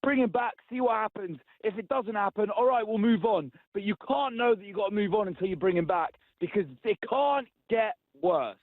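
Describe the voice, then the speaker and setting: A man's voice: sits high, fairly quick, hardly any pauses, no room sound. Exasperated man calling in by phone. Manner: exasperated, repeats himself. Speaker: radio phone-in caller. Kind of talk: casual phone-in call. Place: in a car. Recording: tinny phone line.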